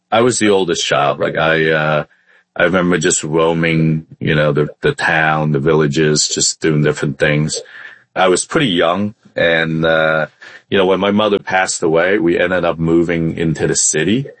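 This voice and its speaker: Low voiced guy from vietnam, podcast guest, chatting casually w lots of hesitations, dry sound. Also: steady tone, unhurried, fairly bright tone, dry recording. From born in Vietnam, in the US from age ten. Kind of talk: casual interview storytelling.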